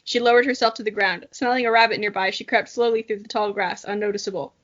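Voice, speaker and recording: A woman's voice: medium pitch, fairly even intonation, fast talker, a bit bright. A young woman reading a story dramatically and chatting casually about it, with a giggly, playful, self-mocking manner. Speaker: podcast co-host. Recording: dull lo-fi audio.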